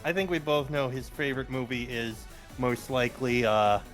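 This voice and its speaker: A man's voice: medium pitch, fairly even intonation, normal speed, low-quality recording. A man with a teasing manner, trading improvised comic banter during a game dub. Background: music.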